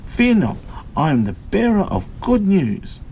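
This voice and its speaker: A man voicing a bold little schoolgirl in a storybook, proclaiming everything grandly with drawn-out drama. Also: high-pitched, huge pitch swings, vocal fry, darkish tone, slight background noise, voice pushed up, heavily acted. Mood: grandly heroic.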